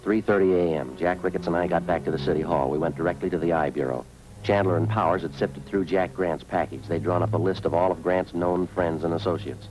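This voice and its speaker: Male actor as dogged American detective for a 1950s radio police drama. Deep, brisk, terse, matter-of-fact. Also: a little mellow, soft background music, close to an everyday voice, rough recording. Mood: grim, matter-of-fact. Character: stoic, dogged male police detective, quietly worried for partner. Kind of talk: 1950s radio police drama, clipped dialogue and scripted narration.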